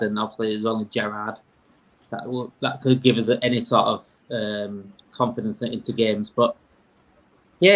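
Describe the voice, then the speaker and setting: A man's voice: on the low side, a bit bright, lo-fi sound. A man in his thirties with a Yorkshire accent, talking casually as a guest in a conversation. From Yorkshire, England. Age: 30s. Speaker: podcast guest, fan podcaster.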